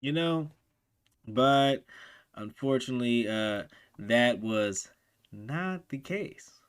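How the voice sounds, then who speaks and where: A man's voice: medium pitch, animated, slow, clear, resonant tone. A man hosting a reaction video, talking in laid-back, slangy banter. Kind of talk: casual reaction commentary.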